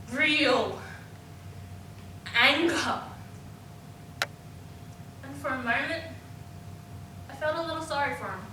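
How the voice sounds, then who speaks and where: A woman playing an anguished young woman in a theatrical monologue about abuse, with halting delivery and dramatic pauses. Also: far from the mic. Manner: halting, dramatic pauses, swinging from hushed to screamed. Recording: echoey room from afar, noisy with rumble.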